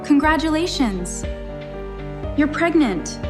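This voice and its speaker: A woman plays a bright female news-bearer in a romance drama. Her voice is high and swoops widely, delivered slowly over loud music. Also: very animated, exaggerated voice. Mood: upbeat announcing. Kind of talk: dramatic trailer dialogue.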